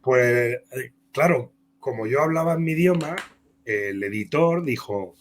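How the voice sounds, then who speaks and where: A man with an accent from Spain talks as an interview guest at a middling pitch. He tells anecdotes in a rambling, conversational style, acting out bits of dialogue. There is clear background noise with a hum. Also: unhurried. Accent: Spanish (Spain). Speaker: comic book author and interview guest.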